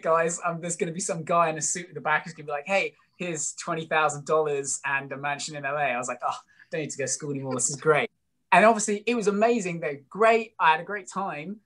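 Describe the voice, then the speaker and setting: A man's voice: a little high, bright, forceful tone. A British man in his early twenties, a musician being interviewed, chatting casually in a rambling, jokey, self-deprecating way. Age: early 20s. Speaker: guest, singer-songwriter musician.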